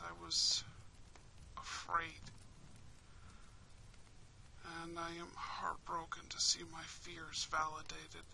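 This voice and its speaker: A woman's voice: low voice, vocal fry, slight background noise, pitched below the performer's own voice, full character voice, low-quality recording. A woman voicing a kind, protective young prince in an intimate roleplay, tender and dramatic. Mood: bitter, anguished. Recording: steady rain and fireplace ambience.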